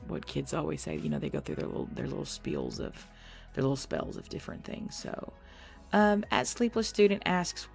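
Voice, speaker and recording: Low-pitched woman chatting, a little gravelly. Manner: informal, chatty, self-deprecating. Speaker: audio creator answering listener questions. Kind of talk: casual Q&A chat. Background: background music.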